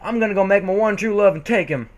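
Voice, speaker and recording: Guy doing a high voiced country pony girl, flustered and stubborn, comedy story reading. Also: quick pace, lightly acted. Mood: defiant, lovesick. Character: flustered female country pony, blurting and defiant.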